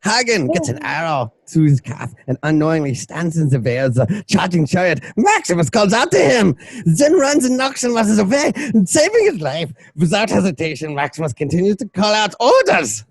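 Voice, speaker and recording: Husky man narrating with lively swings. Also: high-pitched, normal speed, few pauses, no echo. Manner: dramatic, playful, acts out shouts and crowd. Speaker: narrator reading stage directions. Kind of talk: theatrical scripted narration for amateur radio play.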